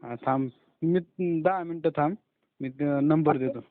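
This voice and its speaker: A man's voice: a little high, a little slow. A young man, chatting colloquially and a bit grumblingly with a friend on a phone call. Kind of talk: casual phone chat with a friend. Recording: narrow, tinny phone call recording.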